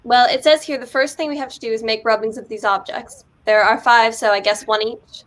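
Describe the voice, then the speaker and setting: Guarded teenage girl, fairly high voice woman acting. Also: steady tone, a little fast, a bit bright, close to an everyday voice. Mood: guarded, dry. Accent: American. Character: guarded eighteen-year-old girl, up for a laugh. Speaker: actor in a play reading. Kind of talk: amateur script reading in American accent.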